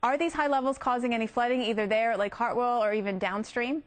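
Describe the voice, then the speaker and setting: A woman's voice: fairly high pitch, fairly even intonation, fast. A woman with an American accent working as a news anchor, presenting and interviewing on live television.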